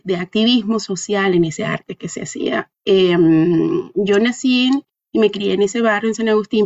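A woman's voice: mid-range voice, creaky, dull audio. A woman from Caracas with a Venezuelan accent, answering reflectively in an interview, thoughtfully searching for words and checking in with small tag questions. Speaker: interview guest, dancer and artist.

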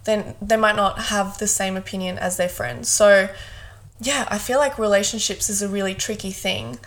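A woman's voice: medium pitch, moderate pace. Young woman from South Africa chatting. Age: 20s. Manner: relaxed, thoughtful, fond of "hundred percent". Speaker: guest co-host. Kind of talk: casual podcast chat.

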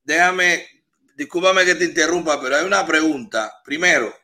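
A man with a Dominican accent hosts the talk in an animated way, stacking one example on the next. His voice is high for a man, with a bright, forceful ring to it. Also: moderate pace. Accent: Dominican Spanish. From Cuba. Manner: animated, piles up lists of examples. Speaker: host. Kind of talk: live talk show interview.

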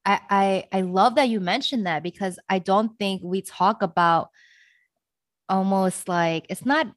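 A woman's voice: mid-range voice, measured pace, no echo, clean studio sound. A young woman hosting a podcast, chatty and bubbly, laughing often and rambling through her questions. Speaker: podcast host. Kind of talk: casual interview chat.

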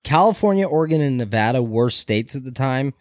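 A man's voice: mid-range voice, expressive, brisk, darkish tone, no echo. Man podcasting in a dry close recording. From New York City. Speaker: podcast co-host. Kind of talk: casual chat.

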